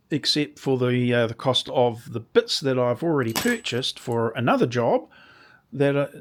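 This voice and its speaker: This is a man with a slightly croaky voice, walking through a workshop build in a relaxed, unhurried way. He speaks at a slow pace, wanders mid-sentence and restarts his thoughts. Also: mid-range voice. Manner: rambling, restarts sentences while explaining. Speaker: woodworking channel host. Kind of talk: casual workshop demonstration.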